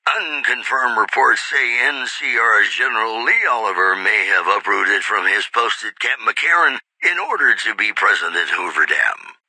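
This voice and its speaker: An actor playing a suave radio DJ who reads wasteland news in a polished, measured announcer style for a video game. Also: male voice, fairly low pitch, sharp tone, no echo, full character voice, poor audio. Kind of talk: scripted radio news bulletin.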